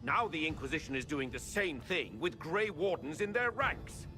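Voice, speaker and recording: A man's voice: a little high, big pitch swings, moderate pace, over music. An actor playing an indignant male diplomat who makes pointed accusations in a game cutscene. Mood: accusing. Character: indignant middle-aged male envoy, accusing.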